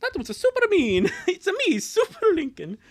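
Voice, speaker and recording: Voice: very high voice, wildly swooping pitch, moderate pace, voice pushed up, full character voice. The streamer voicing a defensive little cartoon boy with exclamatory, comic plumber-style phrasing in a live dub.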